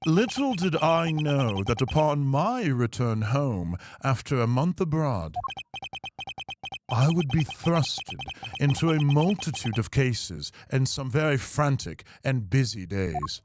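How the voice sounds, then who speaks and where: A man performs a composed, haughty young prosecutor from a video game. He speaks slowly and formally, with stern dramatic pauses and lively intonation. Music plays clearly behind him. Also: mid-range voice. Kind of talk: live video game voice-over.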